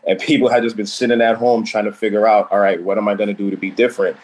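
A man's voice: lowish voice, little pitch movement, fast talker, darkish tone. A man joining an interview over a remote connection, speaking in an easygoing, thoughtful way. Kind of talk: casual interview chat. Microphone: remote call connection.

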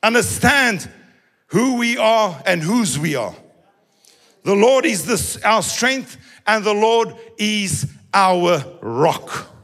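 A male preacher delivers a sermon in a high, gravelly voice with a husky edge. His delivery swings in a sing-song way and often climbs into shouting. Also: big pitch swings, slow.

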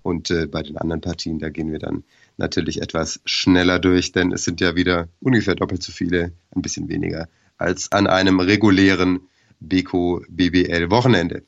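A man with a cold, hoarse, hosting a casual sports podcast and hesitating with many filler sounds. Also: deep voice, little pitch movement, fairly quick, muffled recording. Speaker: podcast co-host.

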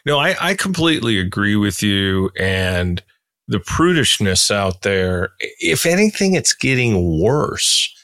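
A man's voice: on the low side, big pitch swings, a little slow, a bit bright, dry recording. A man in his sixties hosting a conversational interview podcast, giving his opinions with emphasis. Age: 60s.

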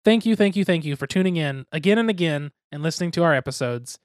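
A man's voice: on the high side, animated, fast, no echo, clean studio sound. A young man co-hosting a board game podcast, talking casually in a rambling, self-deprecating, jokey way. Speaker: podcast co-host.

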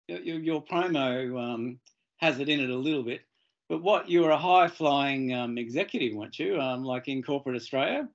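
A man hosting an interview with a croaky voice from a head cold, hesitant and repeating words as he frames questions. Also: a little high, dull audio. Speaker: interview host. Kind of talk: casual interview chat.

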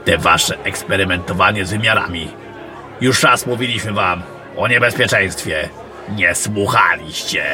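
Guy playing gruff old-campaigner general in fantasy audio drama, lowish voice, blunt, music behind him. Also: moderate pace, a crowd in the background, close to an everyday voice. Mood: stern, sarcastic. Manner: blunt, colloquial, questioning. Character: gruff battle-worn fantasy general, commanding, blunt, suspicious.

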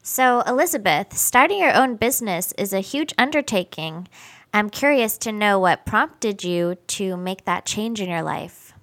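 A woman's voice: medium pitch, expressive, unhurried, fairly bright tone. Brief: woman co-host from Florida, upbeat and joking, asks guests questions. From Southwest Florida. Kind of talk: interview questions, light banter.

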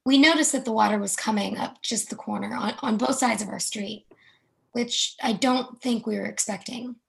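A woman reading a monologue in a measured, reflective way about fleeing a flood. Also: fairly high pitch, gravelly. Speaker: reader performing a monologue. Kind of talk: readers theatre monologue.

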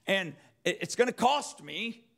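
Man, preacher, slightly high pitch, unhurried pace, emphatic repetition, sermon delivery. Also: animated, vocal fry, fairly bright tone. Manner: emphatic, repeats phrases, rhetorical questions. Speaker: preacher, church elder. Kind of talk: sermon with scripture quotation.

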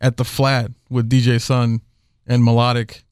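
A man's voice: mid-range voice, monotone, a little slow, darkish tone, smooth, dry recording, studio quality. A man talking casually as a podcast guest, rambling with many fillers and restarts. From Houston area. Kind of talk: casual conversation.

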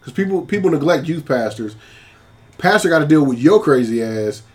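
A man co-hosting a casual podcast, breaking in with animated, joking rants. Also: a little high, moderate pace.

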